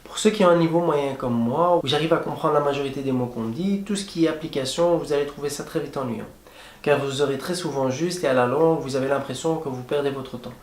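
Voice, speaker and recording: A young man talks directly to his viewers in a friendly, plain-spoken way, sharing tips as a travel vlogger. His voice sits at an ordinary middle pitch. The room around him rings with noticeable echo. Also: fast. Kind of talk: casual advice vlog to camera. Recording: echoey room.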